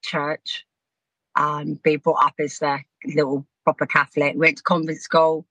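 A woman's voice: sits low, little pitch movement, unhurried, pushed and bright, dry recording, low-quality recording. A woman, frankly telling stories about her youth in a casual podcast chat. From Southampton, England. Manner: chatty, frank, laughs while telling stories. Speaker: podcast guest, hairdresser.

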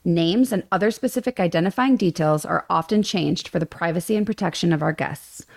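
A woman reading a scripted podcast disclaimer in a measured, formal way. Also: fairly low pitch, creaky. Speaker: disclaimer reader.

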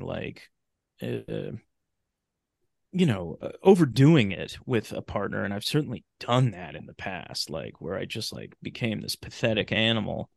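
A man in a casual podcast conversation, talking in a halting, rambling way and often restarting his phrases. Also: on the low side, gravelly, no room sound.

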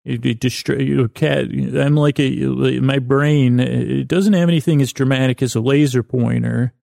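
A middle-aged man with an American accent and a creaky voice, hosting a sleep podcast with a halting, meandering bedtime monologue that keeps digressing. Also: medium pitch, steady tone, mellow, dry recording, clean studio sound. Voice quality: creaky, gravelly.

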